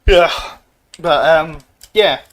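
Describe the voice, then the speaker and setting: A man's voice: on the high side, very slow, a bit bright. A man hosting his game stream from a shared room, chatting casually with wry, morbid humor and plenty of fillers. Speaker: streamer and channel host. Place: shared room at a home gaming gathering.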